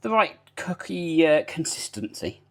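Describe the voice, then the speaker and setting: Man, British accent, casual streamer talk, lively pitch swings, rambling, laughs a lot, kitchen stream. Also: unhurried. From United Kingdom. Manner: rambling, chatty, laughs often, answers chat. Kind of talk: casual live-stream chat. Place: home kitchen while baking.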